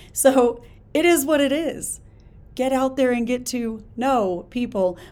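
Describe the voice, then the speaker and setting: A woman hosting a solo podcast, talking in an energetic, emphatic, conversational way with a hoarse voice while she gets over a chest illness. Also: medium pitch. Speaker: podcast host. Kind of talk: casual solo podcast talk.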